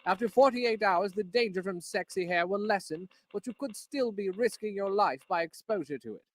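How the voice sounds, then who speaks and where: A man with a high-pitched voice reads a public information announcement. He is formal and instructional, recorded very dry. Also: dry recording.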